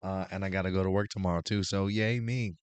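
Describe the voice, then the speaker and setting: A hoarse man hosting a casual show review, rambling with many restarts while recovering his voice. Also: sits low, fairly even intonation, fast talker, no room sound. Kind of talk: casual review talk to camera.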